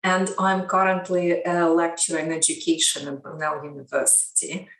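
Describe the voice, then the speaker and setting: A woman from Ukraine, a lecturer, giving measured, thoughtful interview answers. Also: lowish voice, steady tone, fairly bright tone. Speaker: guest, education lecturer. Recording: roomy, distant mic.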